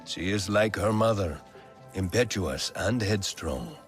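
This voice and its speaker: A stern, grieving alien clan elder from a video game, his voice very low. He speaks in a grave, measured way, with faint music underneath. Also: animated. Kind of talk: video game cutscene acting.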